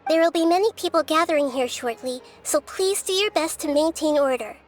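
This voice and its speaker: A woman voicing a small, wise, gentle goddess who speaks in a measured, formal way in an anime game. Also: sits high, natural voice. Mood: calm, businesslike. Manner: measured, soft-spoken, formal. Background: background music.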